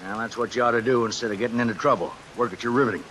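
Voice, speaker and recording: A folksy, paternal older small-town lawman, played by a male actor in vintage radio drama style. He talks down in a wry, fatherly way at a medium pitch. The old recording hisses faintly under rain. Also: steady tone, very fast, a bit bright, close to an everyday voice, poor audio. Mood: lecturing, wry. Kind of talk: 1950s radio drama acting.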